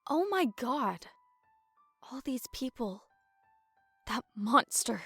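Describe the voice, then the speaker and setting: A woman's voice: fairly high pitch, very animated, takes it slowly, a bit bright, slightly rough, no room sound, soft background music, full character voice. A woman playing a flustered, feisty young adventurer in an audio drama. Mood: horrified.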